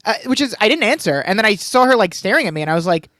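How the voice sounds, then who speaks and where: Animated young man telling a story. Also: sits high, very fast, dry recording, clean studio sound. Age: 20s. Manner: animated storytelling, acting out others' lines. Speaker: podcast co-host. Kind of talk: casual chat. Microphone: podcast microphone.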